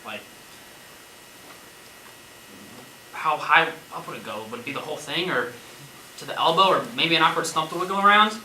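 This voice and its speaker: A man's voice: on the high side, fast talker, bright, forceful tone. A man acting in a stage play as a wry, rambling man facing death in a zombie apocalypse. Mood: anxious, musing. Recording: echoey stage from far off, noisy.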